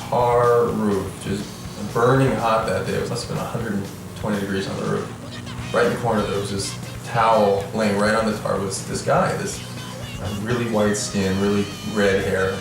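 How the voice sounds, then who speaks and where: A man's voice: fairly low pitch, level tone, moderate pace, creaky, far from the mic, music in the background. A man, a musician being interviewed, casually telling a story, recorded in an echoey room away from the mic.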